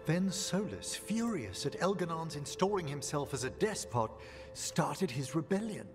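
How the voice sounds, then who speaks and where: A man's voice: a little high, sing-song, measured pace. A man playing a scholarly, analytical mage in a fantasy game, articulate and formal in his speech. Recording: long reverb, music behind. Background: music.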